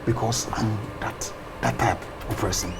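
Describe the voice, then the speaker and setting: A man, a pastor explaining ideas in an interview, animated and often repeating words. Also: fairly low pitch, measured pace, gravelly, slight background noise. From Ghana.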